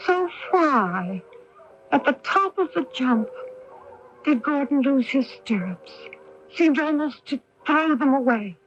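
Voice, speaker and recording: A woman playing a bitter, wounded wife and mother in a 1980s radio drama, emphatic and theatrical, with deliberate pauses. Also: sits high, expressive. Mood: tense recounting. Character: bitter, wounded wife and protective mother, faded society belle. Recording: dull lo-fi off-air radio recording.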